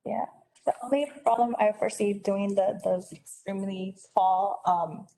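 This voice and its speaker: A woman's voice: medium pitch, steady tone, moderate pace, warm tone, rough recording. A woman giving her views in an informal meeting discussion. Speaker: meeting participant. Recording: faint background noise.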